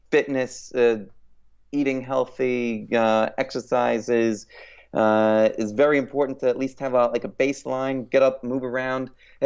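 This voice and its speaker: A man in his late thirties, a guest in an interview, talking in a reflective, halting way with many pauses. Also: mid-range voice, vocal fry, darkish tone, clean tone, highs cut off. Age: 30s. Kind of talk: casual interview chat.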